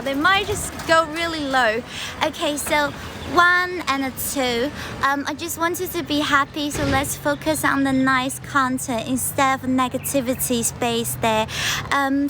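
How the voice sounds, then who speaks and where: A woman's voice: sits high, background noise. A young woman from China with a strong Chinese accent, chatting casually on a livestream while walking outdoors, with lots of fillers. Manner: chatty, switches between English and Mandarin, frequent fillers. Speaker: livestream host. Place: outdoors on a path in a wetland park.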